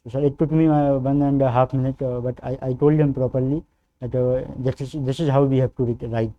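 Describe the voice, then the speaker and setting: A young man from northern India, a native Hindi speaker with a North Indian accent, answering formal interview questions as a candidate in a halting, deferential way. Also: mid-range voice, steady tone, highs cut off. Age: young adult. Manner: hesitant, halting, deferential, often says sir. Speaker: civil service candidate in mock interview.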